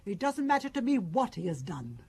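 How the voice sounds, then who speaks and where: A woman's voice: mid-range voice, big pitch swings, a little fast, darkish tone, breathy edge. An actress playing a haughty, possessive older mother in a radio detective drama, indignant and imperious. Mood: defiant. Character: haughty, possessive, defiant mother of a grown son.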